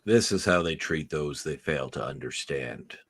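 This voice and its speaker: A man's voice: a bass voice, expressive, a little slow, a bit bright, exaggerated voice. A man playing a grave, embittered and resolute mutant leader in radio theatre, solemn and declamatory. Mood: solemn resolve. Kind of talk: live-read radio theatre acting.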